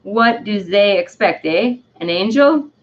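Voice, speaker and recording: A woman voicing an exuberant, self-loving talking dandelion with a put-on French accent, reading theatrically and a little haltingly, as in a storybook. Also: mid-range voice, animated, highs cut off, lightly acted. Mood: teasing. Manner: theatrical, halting, often tagging phrases with "eh". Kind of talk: dramatic storybook-style reading.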